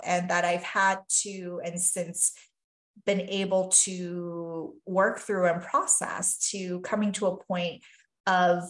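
Woman from taiwan talking slow and thoughtful on a podcast, lots of "you know". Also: fairly low pitch, echoey room. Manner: earnest, thoughtful, many "you know" and "really". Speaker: guest, physician and life coach. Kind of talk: reflective podcast conversation.

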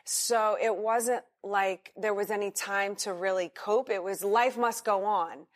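An American woman giving an interview as a guest, talking candidly about her past. She pauses often and restarts her sentences with fillers. Her voice sits at an ordinary middle pitch. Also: fairly even intonation. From United States. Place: hotel in Singapore.

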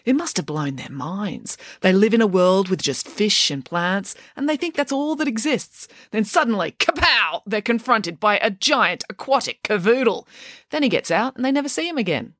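A woman voicing a scatterbrained, chatty mother who is playful and whimsical, talking in a raised, animated way. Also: medium pitch, normal speed, no room sound, clean studio sound, pitched above the performer's own voice.

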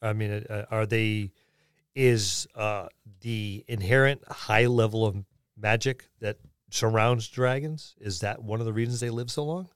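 A man's voice: fairly low pitch, fairly even intonation, measured pace, clear, resonant tone, no echo, studio quality. A man co-hosting a podcast, musing aloud in casual discussion and posing questions as he goes. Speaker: podcast co-host. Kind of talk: casual tabletop gaming discussion.

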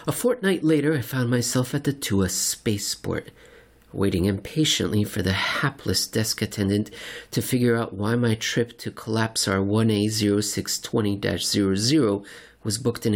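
A man reading a scripted comic science-fiction story in the first person, with a dry, deadpan, wordy delivery. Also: lowish voice. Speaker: narrator.

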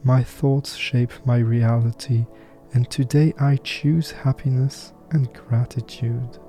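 Very mellow, dark-voiced man guiding meditation. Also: medium pitch, fairly even intonation, faint music behind. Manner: soft-spoken, soothing, unhurried with pauses. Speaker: meditation guide. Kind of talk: guided meditation with affirmations.

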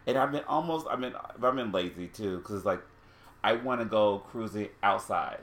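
A man's voice: lowish voice, normal speed, a bit bright. A man of about forty co-hosting a candid chat, rambling and losing his thread. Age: 40s. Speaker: podcast co-host.

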